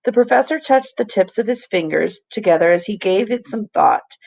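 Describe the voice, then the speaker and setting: A woman, a teacher, reading a children's novel aloud in an expressive storybook style. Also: medium pitch, moderate pace, vocal fry, muffled recording.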